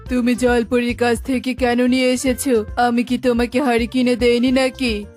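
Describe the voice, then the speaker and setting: A woman's voice: a little high, level tone, moderate pace, darkish tone, soft background music, lightly acted. A woman voicing a sensible, disapproving bird spouse in a children's cartoon, questioning and cautioning against greed. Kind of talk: children's cartoon moral story acting.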